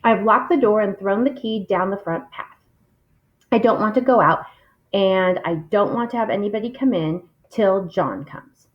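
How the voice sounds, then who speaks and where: A woman's voice: medium pitch, moderate pace, dull audio. A woman reading aloud expressively, in the style of a literary read-along.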